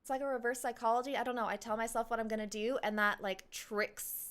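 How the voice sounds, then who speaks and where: A woman's voice: fairly high pitch, steady tone, fast, fairly bright tone, clean tone. A woman streaming a game and talking casually, in a reflective, rambling, slangy way as she reacts to it. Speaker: live streamer playing a game.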